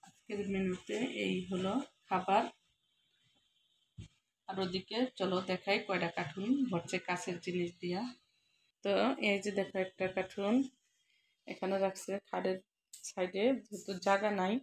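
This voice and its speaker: A woman's voice: mid-range voice, flat delivery, normal speed, pauses often, low-quality recording. A woman with a Bangladeshi accent, a mother casually narrating her home vlog and chatting with family. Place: indoors at home. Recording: household noise, clattering dishes.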